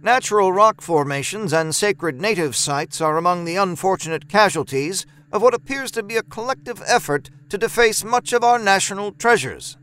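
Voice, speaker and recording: A formal radio news journalist played by a male narrator in an audio story. The pitch is lifted and the tone is clean. The delivery is measured and serious, like a newsreader's. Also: expressive, pushed and bright, clean tone.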